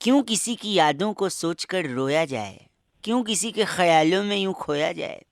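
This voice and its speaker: A man's voice: high-pitched, animated, normal speed, no echo, studio quality. A man hosting radio prank calls, talking in a teasing, insistent, mock-polite way and sometimes reciting comic verse. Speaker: radio prank-call host.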